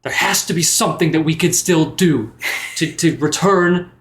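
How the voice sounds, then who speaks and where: A man playing a remorseful but determined bard adventurer, earnest and halting, in a roomy recording. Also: a little high, fairly bright tone, voice pushed up, lightly acted. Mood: earnest, resolved.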